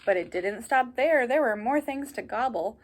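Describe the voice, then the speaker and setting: A woman reading a children's picture book aloud like a teacher, animated, with asides and questions to young listeners. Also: on the high side, moderate pace.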